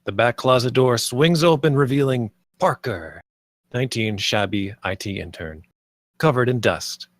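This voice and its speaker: A man's voice: mid-range voice, a little slow, no echo. A man reading stage directions as the narrator of a script table read.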